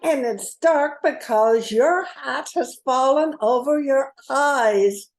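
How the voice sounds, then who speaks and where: Woman playing sweet, high-voiced polite Dorothy. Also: slow. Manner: polite, reassuring. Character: Dorothy, polite, helpful storybook girl. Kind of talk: amateur radio play acting.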